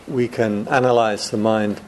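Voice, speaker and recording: A man's voice: on the low side, level tone, unhurried. A man, a Buddhist monk, giving a chatty Dharma talk to a room of students, joking and laughing often.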